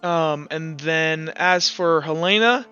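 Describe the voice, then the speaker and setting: A man's voice: fairly high pitch, slow pace, highs cut off, loud music behind the voice. A man speaking casually as game master of a tabletop roleplay game, thinking aloud as he goes.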